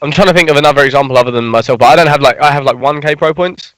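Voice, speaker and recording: A man's voice: a little high, racing, fairly bright tone, no echo, low-quality recording. A young man chatting casually on a gaming podcast, hesitant and hedging with frequent restarts. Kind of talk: casual esports podcast chat.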